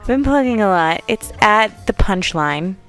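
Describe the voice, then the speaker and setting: A woman's voice: mid-range voice, expressive, moderate pace. A woman comedian guesting on a podcast in a radio studio, chatting casually with wry, joking asides. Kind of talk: casual chat. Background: faint background music.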